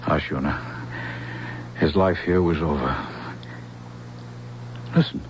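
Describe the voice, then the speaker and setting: Grave, very deep-voiced reassuring man. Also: slow, a little hiss or hum. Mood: hushed, hopeful. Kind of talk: 1970s radio drama acting. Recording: dull, hummy old radio recording.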